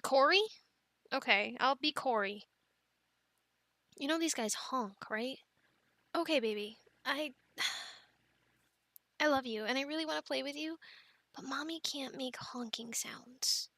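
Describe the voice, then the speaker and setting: Woman acting as playful loving young mom, asmr roleplay, high voice, gentle n halting, really dry close sound. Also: dry recording, exaggerated voice. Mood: playful, bemused. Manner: gentle, halting, soft-spoken with playful bursts. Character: affectionate, playful pregnant young mother playing with her children.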